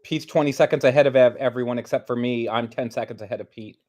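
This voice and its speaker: A man's voice: medium pitch, fairly even intonation, fast, darkish tone. A man co-commentating on basketball in an informal, conversational way with short reactions.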